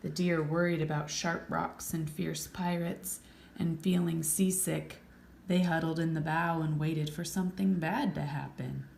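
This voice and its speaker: A woman's voice: low-pitched, steady tone, measured pace, vocal fry, darkish tone. A woman reading a picture book aloud expressively, with chatty asides to her listeners. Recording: roomy echo, faint background noise.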